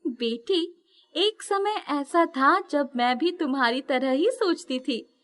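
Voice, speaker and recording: Woman voicing a high, sweet-spoken, wise hunchbacked woman. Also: expressive, slow, a bit bright, full character voice. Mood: gentle, reflective. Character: gentle, wise, disfigured hunchbacked former dancer woman.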